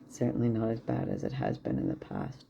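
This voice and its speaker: Tired young man with a gravelly, dark voice. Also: extremely low pitch, huge pitch swings, quick pace, slight background noise. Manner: candid, rambling, lots of fillers. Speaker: vlogger. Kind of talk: casual personal video diary. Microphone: camera propped near a plant. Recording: dull lo-fi camera audio.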